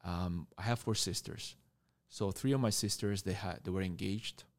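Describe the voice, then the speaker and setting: A male interview guest with a fairly low voice tells stories in a conversational way. His delivery is halting, and he repeats words as he builds each sentence. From born in Taiwan, grew up in Argentina and Canada. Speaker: mentor and coach, podcast guest.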